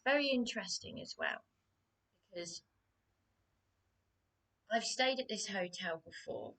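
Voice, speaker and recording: A woman vlogging alone, hoarse and losing her voice, speaking hesitantly and haltingly with many restarts, often dropping to a whisper. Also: medium pitch, big pitch swings, fairly bright tone. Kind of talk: personal vlog monologue.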